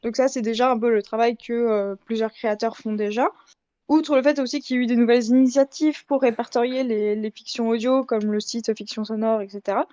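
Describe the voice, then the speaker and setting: A woman's voice: fairly high pitch, fairly even intonation, a little fast, mellow, no echo. A woman speaking analytically in a casual panel debate, on a slightly muffled recording. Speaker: debate participant.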